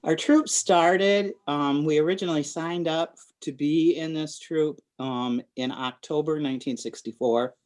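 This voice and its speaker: A woman's voice: low-pitched, unhurried, hardly any pauses, a little mellow, faint music behind. A woman in her sixties from Michigan with an American accent, reminiscing in a group interview. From Michigan, United States. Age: 60s. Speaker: scout troop member.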